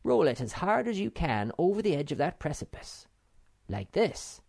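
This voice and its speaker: A man voicing Snufkin, a calm and practical male wanderer in a storybook, easygoing and coaxing. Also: on the high side, normal speed, vocal fry, no echo, clean studio sound, pitched above the performer's own voice, exaggerated voice.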